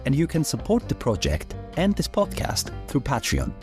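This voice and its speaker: A young man hosting a podcast, upbeat and quick with short affirmations as he interviews his guest. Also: medium pitch, huge pitch swings, music in the background. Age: young adult. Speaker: podcast host.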